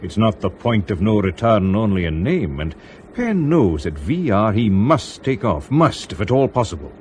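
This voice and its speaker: An actor playing a loyal, experienced airline chief in a radio drama, with a clipped, emphatic and assured manner. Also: male voice, on the low side, big pitch swings, moderate pace, a little mellow, a little hiss or hum. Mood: firm, emphatic. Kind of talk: BBC radio drama acting.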